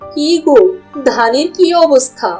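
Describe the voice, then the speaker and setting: A woman's voice: high-pitched, unhurried, mellow, faint music behind. A woman voicing a worried, pious village farmer's wife in a melodramatic cartoon, with a plaintive, pleading delivery. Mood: anxious, pleading.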